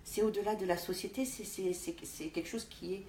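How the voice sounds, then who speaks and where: A woman from France, a guest in an interview, speaking deliberately with frequent restarts. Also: medium pitch, fairly even intonation, mellow. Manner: deliberate, halting with many restarts. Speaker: interview guest, party official. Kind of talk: conversational interview. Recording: echoey room with faint background noise.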